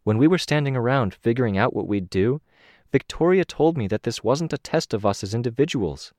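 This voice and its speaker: A man reading an audiobook, voicing an earnest, steady young woman teammate. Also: mid-range voice, a little fast, no echo, clean studio sound, voice pushed up, lightly acted.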